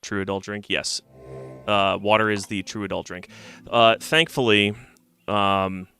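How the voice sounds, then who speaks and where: A man's voice: fairly low pitch, a little slow, sharp tone, slight background noise. A man chatting casually while playing a game on stream, slightly stuffy from a cold and rambling as he thinks aloud. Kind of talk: casual let's-play commentary. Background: game music.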